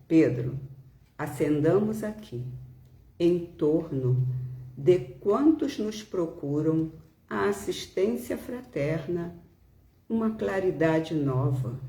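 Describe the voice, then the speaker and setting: A Brazilian woman giving a devotional reading and reflection as a spiritist speaker, with measured, deliberate phrasing and pauses. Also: sits low, dark tone. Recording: roomy, echoing home recording.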